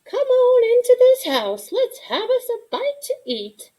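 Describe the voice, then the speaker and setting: Woman putting on a high, folksy storybook grandmother. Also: wildly swooping pitch, unhurried, warm tone, full character voice. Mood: welcoming. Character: homely, bustling small-town grandmother, hospitable and brisk.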